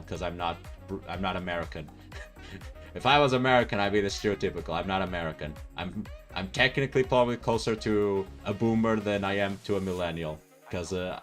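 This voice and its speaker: A young man, chatting loosely with his live-stream viewers. He rambles, restarts his sentences and fills gaps with "uh" and "um". Faint music plays softly behind him. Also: sits low. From Portugal. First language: Portuguese. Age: 20s. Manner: rambling and self-correcting, with frequent fillers and laughs. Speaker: livestreamer. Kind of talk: casual live-stream chat reading viewer comments.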